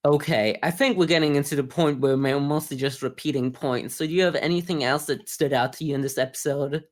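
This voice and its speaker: Guy on a podcast, measured and analytical, just chatting through a review. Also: on the high side, fairly even intonation. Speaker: podcast co-host. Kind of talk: casual anime review discussion.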